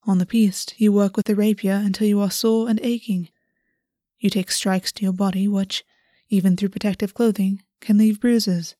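A woman reading the part of a composed, sly male courtier in a fantasy audiobook, often speaking softly and half-whispered. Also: medium pitch, little pitch movement, moderate pace, dry recording, studio quality, natural voice. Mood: explaining. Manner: soft, breathy, half-whispered speech.

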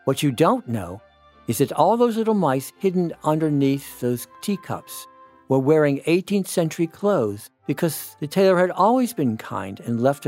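Older male, American accent: informal, enthusiastic explainer with lively, varied intonation. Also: mid-range voice. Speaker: retired priest, storyteller. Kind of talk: informal personal commentary to listeners.

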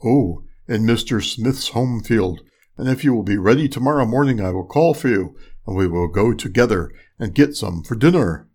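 Storybook big bad wolf, played by a male reader: deep, loud, sly and menacing, coaxing in drawn-out phrases. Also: lively intonation. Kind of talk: storybook character voice.

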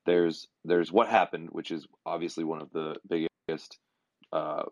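A man co-hosting a podcast in casual chat, his voice hoarse, talking haltingly with many fillers. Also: deep voice, no echo. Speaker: podcast co-host.

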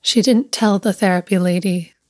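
A woman reading a scripted literary story as narrator, measured and deliberate, pausing between short phrases. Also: medium pitch, a little mellow, no room sound.

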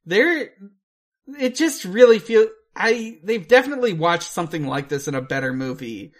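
A podcast co-host chatting casually about a film, halting and hesitant, with dry humour. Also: medium pitch, animated.

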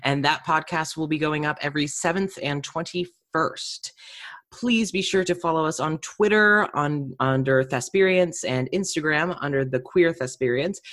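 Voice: mid-range voice, unhurried, fairly bright tone. A podcast host with a chatty, informal way of talking, speaking casually to guest and listeners. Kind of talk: casual podcast hosting and sign-off.

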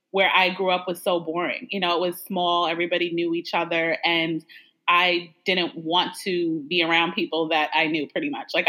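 Woman from new york talking straight, bright voice, lots of likes and you knows. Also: lowish voice. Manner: frank, conversational, lots of "like" and "you know". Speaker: guest, adoptee. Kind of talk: casual interview.

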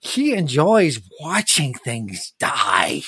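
Game master playing a male living siege machine, lateral lisp, self-blaming, earnest, unhurried, dry sound. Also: medium pitch, huge pitch swings, a bit bright, husky, no room sound, exaggerated voice. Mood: anxious, guilty.

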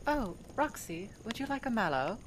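A woman's voice: fairly high pitch, very animated, normal speed, a loud crowd. Woman acting a polite, proper, generous friend. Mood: courteous, kind. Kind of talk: fandub audio drama dialogue.

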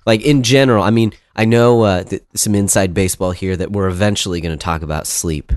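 Low, nasal man with a cold. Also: brisk, no echo. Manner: rambling, self-deprecating, many fillers and restarts. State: has a cold. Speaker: podcast co-host. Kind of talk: casual chat.

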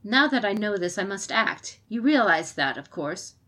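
A woman's voice: mid-range voice, sing-song, normal speed, sharp tone. A woman voicing a stern clan champion. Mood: firm. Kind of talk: audiobook character reading.

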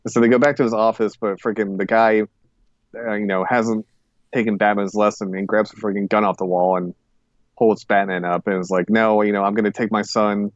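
Low-voiced man in rambling podcast chat. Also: flat delivery, fairly quick, no room sound, muffled recording. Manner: rambling, hesitant, self-correcting. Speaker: podcast co-host. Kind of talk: casual review chat.

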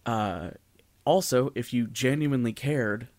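A man's voice: mid-range voice, a little slow, darkish tone, no echo. A man co-hosting a podcast, chatting casually about things. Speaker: podcast co-host.

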